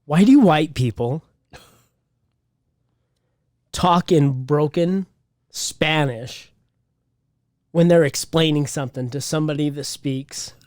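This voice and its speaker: A man's voice: fairly high pitch, takes it slowly, pauses often, a little mellow, dry recording, clean studio sound. A man co-hosting a podcast in casual chat, talking bluntly and with emphasis, swearing casually. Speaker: podcast co-host.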